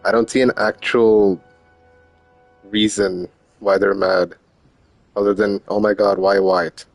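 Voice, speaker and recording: A man with a fairly low voice chats casually on a game stream. His way of talking is slangy and informal, with the odd swear word. Faint music plays in the background. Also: level tone, takes it slowly, no room sound, poor audio. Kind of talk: casual livestream chat.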